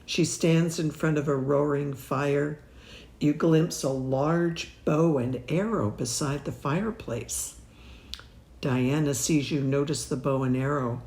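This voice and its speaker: A woman leading a guided meditation in a measured, soothing way, leaving long pauses between phrases. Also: low-pitched, fairly even intonation, darkish tone, reverberant. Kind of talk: slow guided meditation narration.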